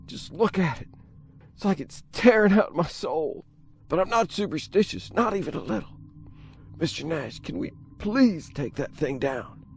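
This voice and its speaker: A man's voice: on the high side, very animated, normal speed, vocal fry, a little hiss or hum. An actor playing an anxious, fretful male underling in a game cutscene, plaintive and pleading. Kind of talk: dramatic video game cutscene acting.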